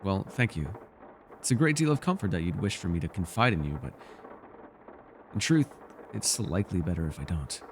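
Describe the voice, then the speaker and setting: A man's voice: sits low, very animated, fairly quick, pauses often, in a large hall, a little hiss or hum. A man playing a bookish, witty but guarded elf in a fantasy ASMR roleplay, speaking in a wry, wordy, formal way. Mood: apologetic, hesitant. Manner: wry, wordy and formal, flustered when pressed.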